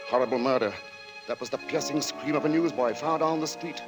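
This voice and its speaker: A man narrating a radio suspense drama in a measured, ominous manner with theatrical pauses. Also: medium pitch, loud music behind the voice.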